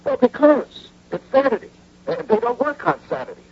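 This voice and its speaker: Henpecked nervous husband, stammering, wildly swooping pitch, gravelly edge, vintage radio comedy-drama. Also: male voice, moderate pace, exaggerated voice. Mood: nervous, evasive. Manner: stammering, evasive, excitable. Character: flustered, henpecked husband, nervous guilty bank robber. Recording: dull, noisy old radio recording.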